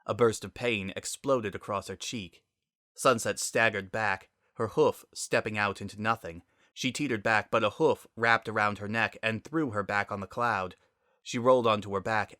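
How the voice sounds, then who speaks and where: A man's voice: on the low side, sharp tone, dry recording, clean studio sound. A man reading a story aloud as narrator in a dramatic style.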